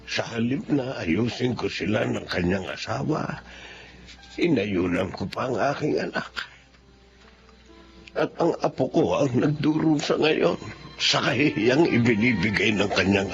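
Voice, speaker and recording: A man's voice: lowish voice, gravelly. An actor playing a remorseful elderly father blaming himself in a dramatic inner monologue. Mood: anguished. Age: older. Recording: muffled drama audio with music.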